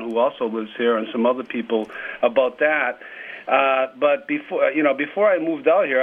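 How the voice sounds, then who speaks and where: Boston-accented man over a tinny phone line. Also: mid-range voice. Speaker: guest, executive director of an arts project. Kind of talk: phone interview. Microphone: telephone.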